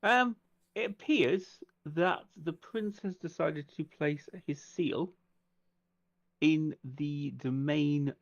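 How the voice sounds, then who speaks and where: Slightly dull audio carries a creaky-voiced vampire acted by a man from a tabletop game. He is courteous and businesslike, choosing his words with formal deliberation. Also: a little high, slow. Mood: polite, calculating. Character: courteous, businesslike vampire making a phone call.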